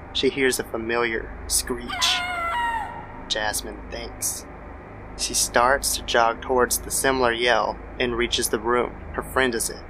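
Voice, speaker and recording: Gravelly man narrating horror, noisy background. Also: medium pitch, pushed and bright. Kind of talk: scripted horror story narration.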